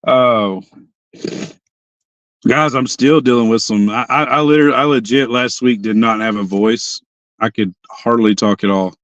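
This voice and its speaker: A hoarse young man hosting a casual livestream chat from his parked car, rambling and often restarting his sentences. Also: mid-range voice, moderate pace, a bit bright, no echo. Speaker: livestream host.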